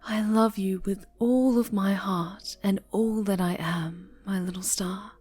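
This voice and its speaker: A woman playing an obsessive, possessive dark-angel superheroine turned villain in an intimate ASMR roleplay, half-whispering with menacing tenderness. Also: mid-range voice, steady tone, a little slow, husky, soft background music. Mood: adoring, intense.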